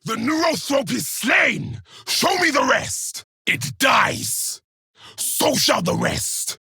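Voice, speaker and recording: A man voicing a battle-hardened, contemptuous Space Marine warrior in a video game, with a raspy, gravelly voice and forceful, scornful delivery. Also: very high-pitched, very animated, slow pace, no echo, clean studio sound, full character voice. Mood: fierce triumph. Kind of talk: combat kill barks from a video game.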